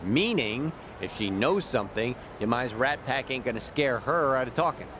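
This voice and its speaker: An actor playing a streetwise, easygoing ex-gangster guide in a video game, explaining things in casual slang. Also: male voice, mid-range voice, lively intonation, normal speed, noisy background, lightly acted. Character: streetwise, easygoing male gang deserter and local guide in a game.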